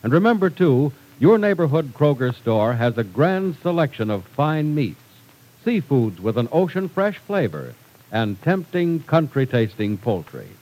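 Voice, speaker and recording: Animated old-time male radio announcer. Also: mid-range voice, unhurried, poor audio. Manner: polished, emphatic delivery. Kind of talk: 1940s radio announcing, scripted narration and sponsor pitch.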